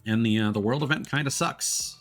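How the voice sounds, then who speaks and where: A man chatting casually while live streaming a game, explaining things in a rambling, halting way. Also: medium pitch, fairly bright tone, faint music behind. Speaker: live streamer playing games. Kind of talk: casual chat while gaming.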